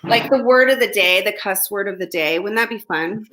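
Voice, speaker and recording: A woman hosts a casual live chat, her voice bright and forward. She talks freely and swears without a second thought. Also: mid-range voice, moderate pace, poor audio. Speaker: host.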